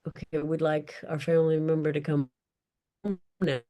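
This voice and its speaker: A woman's voice: sits low, little pitch movement, normal speed, lo-fi sound. A woman author answering audience questions in an unscripted discussion, speaking carefully and often searching for her words. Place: virtual event.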